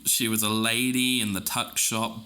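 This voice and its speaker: A man's voice: lowish voice, flat delivery, normal speed, pushed and bright. A man playing a curious, persistent podcast interviewer with a casual, conversational way of asking questions. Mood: insistent. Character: eager, curious male podcast interviewer pressing for details.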